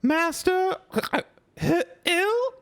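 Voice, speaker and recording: A man voicing a drunk, tearful female house elf who is loyal to her master, with a squeaky, slurred voice and broken grammar. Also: animated, very slow, fairly bright tone, heavily acted.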